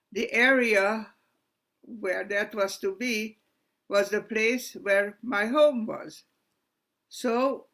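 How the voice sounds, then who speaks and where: A woman, giving her personal testimony as a survivor in a slow, halting, earnest way, pausing often and sometimes restarting her sentences. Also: mid-range voice, fairly bright tone. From Hungary. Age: 90s. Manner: loses her place and restarts. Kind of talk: personal testimony on a live stream.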